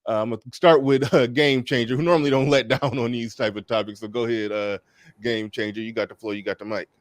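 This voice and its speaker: A man hosting a casual panel discussion, relaxed and repeating words as he thinks aloud. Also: mid-range voice, quick pace, no echo. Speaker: host. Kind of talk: casual panel talk show.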